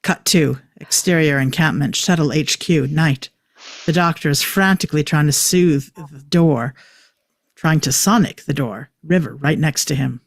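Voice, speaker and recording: Dry-sounding screenplay narrator, mid pitch. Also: unhurried, no echo. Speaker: stage-direction reader. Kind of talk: scripted narration.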